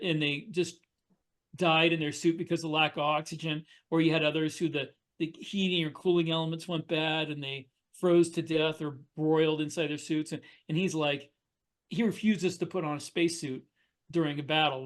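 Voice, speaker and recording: Slightly high-voiced man explaining casually. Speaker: podcast co-host and fiction author. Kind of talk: casual storytelling and discussion.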